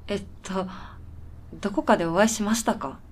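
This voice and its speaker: A woman's voice: mid-range voice, brisk, darkish tone, lightly acted. An actress playing a polite, puzzled and tired woman with a dry, blunt streak in a radio drama. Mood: puzzled, timid. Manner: polite, dry, matter-of-fact. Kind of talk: Japanese radio drama dialogue.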